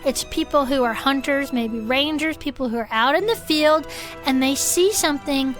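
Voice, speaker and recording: A woman's voice: high voice, normal speed, a bit bright, faint music behind. A woman playing a chatty, earthy cryptid investigator in improvised comedic fiction podcast banter, talking in an earnest, joking, conversational way. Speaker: podcast co-host.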